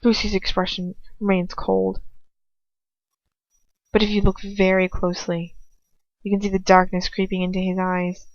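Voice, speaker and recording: A woman reading a story aloud in the first person with expressive, emotive delivery. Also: medium pitch, moderate pace, pauses often, no room sound. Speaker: amateur audiobook reader. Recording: dull, lo-fi close recording.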